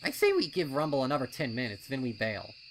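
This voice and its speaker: A man's voice: mid-range voice, huge pitch swings, fast, a little hiss or hum, pitched above the performer's own voice, poor audio. A man voicing a laid-back, teasing young colt pony with a drawling delivery in a story reading. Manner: drawling, taunting.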